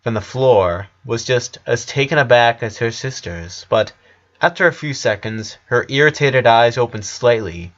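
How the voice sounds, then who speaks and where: A man's voice: medium pitch, unhurried, fairly bright tone. A man reading a story aloud as scripted narration, in the role of narrator. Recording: dull lo-fi recording.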